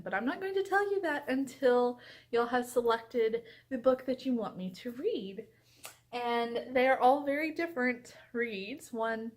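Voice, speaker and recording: A woman chats casually to her viewers as a book vlogger, gushing and leaning on her words. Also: fairly high pitch. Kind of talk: casual vlog chat to viewers.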